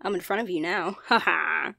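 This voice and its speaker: A woman playing an expressive young genderfluid best friend in a conversational ASMR roleplay. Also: lowish voice, normal speed, gravelly, no room sound, clean studio sound, full character voice. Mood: casual, amused. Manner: teasing, casual, expressive, apologetic. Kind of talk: ASMR roleplay, one-sided conversational acting.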